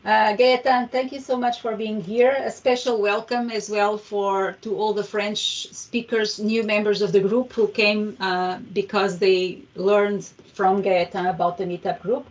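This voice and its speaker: A woman hosting an online meetup, introducing a guest speaker in a friendly, halting way with many fillers and restarts, speaking through a headset on a video call. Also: medium pitch, flat delivery. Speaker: meetup host. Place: home room, video call. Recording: distant, dull video call audio.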